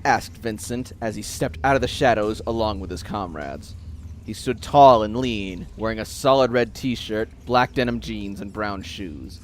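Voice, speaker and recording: A man's voice: lowish voice, a little slow, a little hiss or hum. A man delivering dramatic scripted narration for an audio story.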